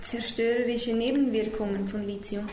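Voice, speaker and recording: Higher-voiced woman in an echoey room. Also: steady tone, normal speed, a little mellow. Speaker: live interpreter. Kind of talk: consecutive spoken interpretation. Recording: echoey room with background noise.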